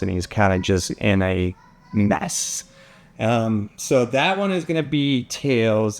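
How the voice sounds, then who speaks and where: A man's voice: on the low side, gravelly, a little mellow. A man who makes videos, chatting casually in vlog style. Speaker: filmmaker, video creator.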